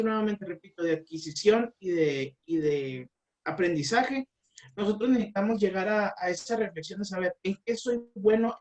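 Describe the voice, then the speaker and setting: A man's voice: sits high, recorded from a distance. A man explaining language learning as an interview guest, with many fillers and restarts.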